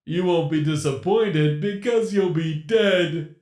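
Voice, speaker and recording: A man putting on a cruel, gleeful animatronic captor from a spooky storybook, raised and strained in pitch, loud and pressing, stretching every word out slow with mocking relish, an unnatural timbre set apart from the narration. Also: roomy sound. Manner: slow, mocking, theatrical drawl. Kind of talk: storybook reading.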